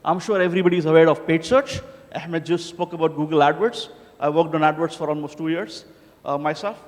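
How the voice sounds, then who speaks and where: Man from India, slightly high voice, giving a loose, joking conference talk with lots of "uh"s; hall echo. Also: a little fast. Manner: casual, joking, many filler "uh"s. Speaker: conference speaker. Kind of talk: conference presentation. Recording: hall reverb.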